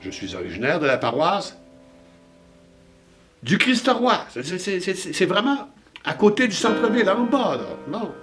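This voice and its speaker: A man's voice: high-pitched, sing-song, measured pace, pauses often. An older man with a Saguenay Québécois French accent, telling a playful, musing monologue about where he comes from. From Chicoutimi, Saguenay, Quebec. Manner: playful, musing, rising emphasis on place names. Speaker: storyteller host. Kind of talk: monologue with background guitar. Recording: music bed under voice.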